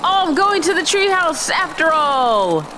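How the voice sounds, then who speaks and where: A woman's voice: very high-pitched, big pitch swings, measured pace, noisy background. A woman hosting a gaming video with casual, chatty commentary and joking, sarcastic asides. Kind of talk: casual let's-play commentary. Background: game music faintly behind the voice.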